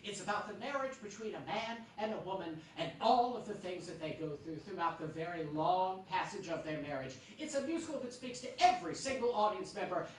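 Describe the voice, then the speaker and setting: A woman makes live announcements from a theater stage with playful, theatrical emphasis. Her high voice is very animated in pitch. The recording is heavily echoed and noisy. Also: distant mic. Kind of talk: live promotional announcement to an audience.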